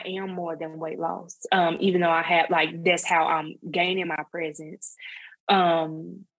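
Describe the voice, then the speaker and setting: A woman's voice: fairly low pitch, a bit bright, husky, dry recording, low-quality recording. A woman speaking as a guest in an interview, chatting loosely and filling her talk with "um" and "you know". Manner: rambling, full of fillers like "um" and "you know". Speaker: guest, content creator. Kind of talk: casual interview chat.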